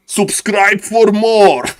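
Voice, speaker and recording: A man with a Polish accent doing live strategy game commentary and chatting to viewers, excitable and repeating phrases for emphasis. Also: high-pitched, clear, resonant tone. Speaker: esports caster and live streamer.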